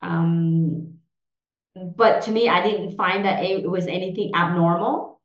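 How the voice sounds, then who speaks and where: A woman's voice: lowish voice, fairly even intonation, takes it slowly. A woman in her forties from Vietnam, a native Vietnamese speaker, answering interview questions hesitantly with many fillers and restarts. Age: 40s. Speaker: podcast guest. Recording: roomy, dull lo-fi sound.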